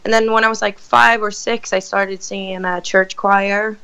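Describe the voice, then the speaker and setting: Raspy woman. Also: mid-range voice, little pitch movement, sharp tone, muffled recording. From Sweden. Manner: chatty, halting, laughs often. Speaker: guest singer. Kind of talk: casual interview on a call.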